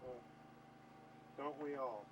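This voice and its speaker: A man's voice: mid-range voice, slow. A man asking questions casually as an interviewer, a little distant on a noisy old documentary recording. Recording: noisy 1990 documentary tape, slightly distant.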